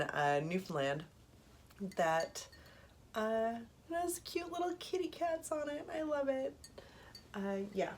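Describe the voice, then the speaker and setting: A woman's voice: on the low side, a little hiss or hum. A woman in her thirties with a head cold, chatting casually to camera from a small apartment studio. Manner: chatty, rambling, with many ums and little laughs. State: congested from a cold. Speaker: knitting podcast host.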